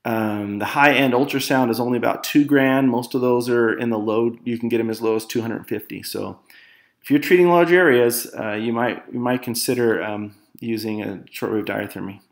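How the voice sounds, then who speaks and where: A man narrating an academic slide presentation as a student, halting at times with self-corrections and repeated words. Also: medium pitch.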